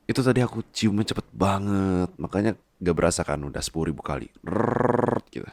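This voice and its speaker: Man acting a deep-voiced doting, teasing husband. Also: normal speed, creaky, darkish tone, dry recording. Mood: playful, affectionate. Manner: coaxing, playful, drops into whispers. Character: doting, teasing husband, a babyish big boss. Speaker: ASMR roleplay voice actor. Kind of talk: intimate boyfriend ASMR roleplay.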